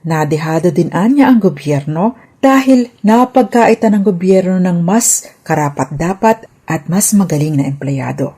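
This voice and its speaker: Low, husky Filipino woman explaining formally. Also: dark tone. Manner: formal, enumerating, emphatic on key points. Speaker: legal explainer and host. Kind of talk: scripted legal explanation.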